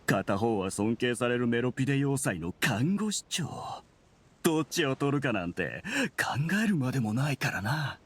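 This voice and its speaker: An actor playing a bitter, sneering researcher turned criminal, sardonic and argumentative, in an anime-style Japanese game. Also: male voice, mid-range voice, lively intonation, moderate pace, a little hiss or hum, close to an everyday voice. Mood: mocking.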